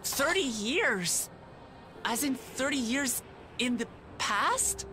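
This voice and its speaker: An earnest young princess, music behind. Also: female voice, sits high, sing-song, slow, heavily acted. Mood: puzzled, earnest. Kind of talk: scripted video game cutscene acting.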